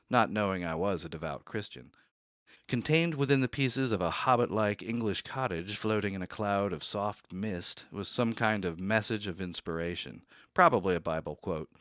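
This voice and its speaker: A man voicing a fussy, precise accountant who narrates in a measured, dry way. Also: fairly low pitch, dry recording. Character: fussy, precise middle-aged male accountant, rigid and easily rattled. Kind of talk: radio drama narration. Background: soft music.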